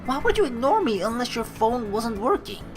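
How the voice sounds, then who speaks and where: A young woman reading a visual novel aloud with joking, swearing asides, animated and quick to laugh. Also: a little high, music in the background. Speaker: let's play streamer reading a visual novel.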